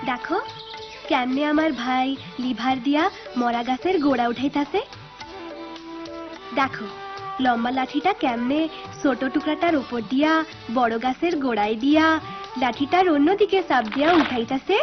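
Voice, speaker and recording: A woman's voice: high-pitched, normal speed, pauses often, close to an everyday voice. An actress playing an encouraging young village woman in a cartoon, explaining and reassuring in a rural Bangladeshi dialect. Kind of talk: cartoon voice acting. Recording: muffled lo-fi soundtrack, music, chirping birds.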